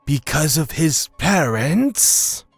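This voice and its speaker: A man's voice: on the high side, big pitch swings, gravelly, breathy edge, no room sound, full character voice. A man playing a discerning, sceptical warrior god in a fantasy audio drama, speaking with deliberation. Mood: pondering. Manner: deliberate, considering.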